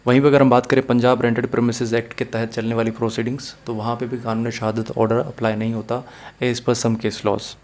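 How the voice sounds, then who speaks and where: A man's voice: medium pitch, level tone, brisk, darkish tone. A man with a Pakistani accent giving a methodical, explanatory video lecture as a law teacher, mixing in English phrases.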